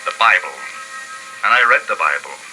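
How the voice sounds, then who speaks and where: An actor with an American accent playing a grave, haunted lone survivor in a 1950s radio drama, with a brooding, emphatic delivery. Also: male voice, sits low, expressive, normal speed, background noise. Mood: haunted, bleak. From California. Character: solitary male survivor narrating a post-apocalyptic world, grave and haunted. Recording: old radio broadcast with music behind.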